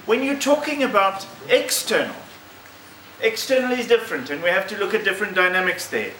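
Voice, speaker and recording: A middle-aged man speaks to a conference in measured, emphatic phrases. His voice is bright and a little high. It comes through a handheld microphone in a roomy space. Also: animated. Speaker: clergyman presenting as committee member. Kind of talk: conference presentation, oratorical. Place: conference room, indoors. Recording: roomy, slightly distant, faint noise.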